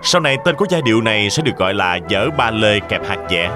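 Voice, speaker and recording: A man's voice: fairly low pitch, big pitch swings, fast talker, music in the background. A man playing a gallant young nutcracker soldier in a cartoon fairy tale, courteous and earnest. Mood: admiring, courteous.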